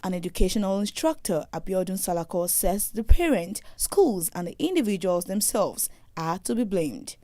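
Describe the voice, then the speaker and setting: A woman narrating a scripted news report in a measured, formal way. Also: medium pitch, sing-song, warm tone, dry recording. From Nigeria.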